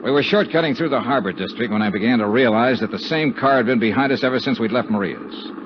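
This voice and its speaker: An actor playing a tough, wry investigator hero with a clipped, hard-boiled manner in a 1950s radio drama. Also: male voice, mid-range voice, quick pace, lightly acted. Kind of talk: 1950s radio drama acting and first-person narration. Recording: muffled, noisy 1950s radio recording.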